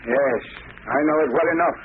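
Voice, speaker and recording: An actor playing a frightened, bitter grocer on the witness stand, halting and pleading in 1930s radio drama style. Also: male voice, mid-range voice, lightly acted. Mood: nervous, evasive. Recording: noisy, tinny 1930s radio recording.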